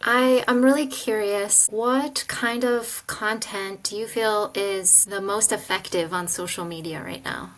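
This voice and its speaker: A woman's voice: mid-range voice, unhurried, few pauses. A woman hosting a podcast interview, thinking aloud in a reflective, casual way with frequent "like" and "you know". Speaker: podcast host.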